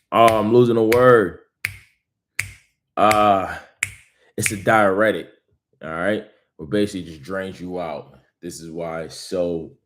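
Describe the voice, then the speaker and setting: A man giving a casual motivational vlog monologue, rambling with emphatic bursts and self-help pep talk. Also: low voice. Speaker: vlogger.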